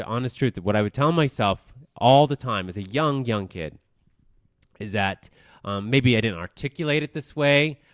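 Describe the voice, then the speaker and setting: Nervous man with a smooth low voice. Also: a bit bright, no echo, studio quality. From Colorado. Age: 30s. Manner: nervous, hesitant, halting with fillers. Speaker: guest, news manager. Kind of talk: personal interview.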